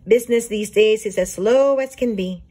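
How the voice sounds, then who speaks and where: A woman reading a children's storybook aloud as a teacher would, expressive and explanatory. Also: mid-range voice, normal speed, slightly rough.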